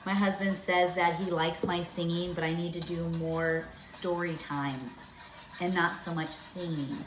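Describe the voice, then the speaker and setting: A woman's voice: lowish voice, steady tone, unhurried, echoey room, slight background noise, rough recording. A woman in her fifties giving a chatty, step-by-step home cooking demonstration in her kitchen. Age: 50s.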